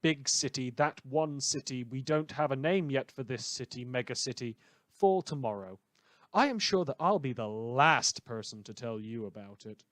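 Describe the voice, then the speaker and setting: A man's voice: medium pitch, moderate pace, fairly bright tone, dry recording, lightly acted. Sarcastic late-night radio host played by a man. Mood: weary, wry. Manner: sarcastic, theatrical, digressive. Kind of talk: late-night radio monologue in audio fiction.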